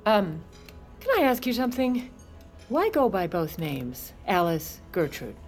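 An actress playing a witty, exasperated widow with a dry, sardonic manner in comedic audio fiction. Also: female voice, mid-range voice, very animated, a little slow, faint music behind. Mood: wry, curious.

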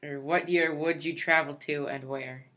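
A woman with a fairly low voice reading out interview questions on a family podcast. She keeps a dry, matter-of-fact tone as the others chat around her. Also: steady tone, unhurried, a bit bright.

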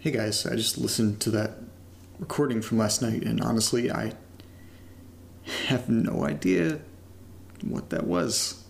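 A man's voice: on the low side, a little slow, vocal fry, pauses often, slight background noise. An actor playing a casual young man who documents his creepy new house in a found-footage horror audio drama, rambling informally with some swearing and self-mockery. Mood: uneasy.

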